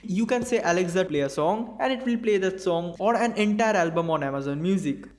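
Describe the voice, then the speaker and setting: High-voiced Indian tech reviewer. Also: male voice, animated. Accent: Indian English. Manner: clear, measured explanatory delivery. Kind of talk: scripted product review voice-over. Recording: faint background music.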